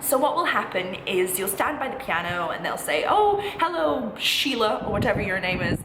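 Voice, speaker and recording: A woman's voice: medium pitch, sing-song, normal speed, a bit bright. A woman in her twenties giving chatty, informal advice in a reassuring, encouraging way, like a student vlogger talking to camera. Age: 20s. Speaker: vlogger, music college postgraduate singing student. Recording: echoey room with clear background noise.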